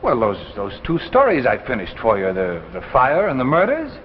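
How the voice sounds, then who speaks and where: An actor playing an anxious, weak-willed husband, hesitant and earnest, in old radio drama style. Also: male voice, mid-range voice, huge pitch swings, normal speed, a little hiss or hum. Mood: alarmed. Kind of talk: 1950s radio drama acting. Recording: dull old radio recording.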